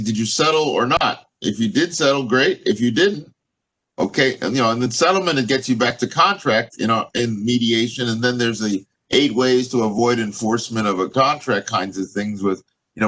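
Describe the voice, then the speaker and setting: Rambling American law professor on a video call. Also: male voice, mid-range voice, fairly bright tone, poor audio. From United States. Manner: rambling, thinking aloud with many fillers. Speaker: law professor giving a guest talk. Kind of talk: lecture and Q&A over video call.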